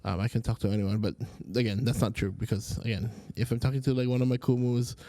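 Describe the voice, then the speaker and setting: Casting: young man from Hawaii, warm mellow tone, dry close sound, easygoing rambling guest. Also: mid-range voice, quick pace, dry recording. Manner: relaxed, rambling storytelling with many fillers. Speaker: podcast guest, Hawaiian language teacher. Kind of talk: casual interview chat.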